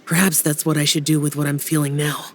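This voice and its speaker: A man's voice: a little high, little pitch movement, fast talker, slight background noise, natural voice. A man playing a reserved, brooding young immortal guardian in a dubbed fantasy game, speaking solemnly and formally, with some hesitation. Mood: reflective, melancholy. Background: soft music.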